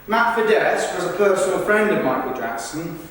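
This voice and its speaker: A man's voice: high-pitched, lively intonation, moderate pace, a bit bright. A man delivering a rehearsed verbatim theatre monologue, recorded from a distance in a very echoey rehearsal room. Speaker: stage performer. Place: large reverberant indoor rehearsal room.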